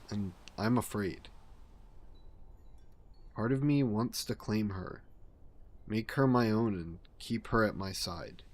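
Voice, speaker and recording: An actor playing a grieving ancient vampire in an audio drama, speaking in a regretful, halting, introspective way. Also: male voice, a little hiss or hum. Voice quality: low, slow and brooding.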